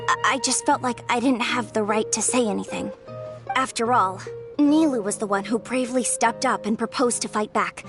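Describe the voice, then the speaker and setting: A woman's voice: on the high side, natural voice. A woman voicing a conflicted young woman from a theater troupe, confessing with hesitant regret. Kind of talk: video game dialogue. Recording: game audio with clear music underneath.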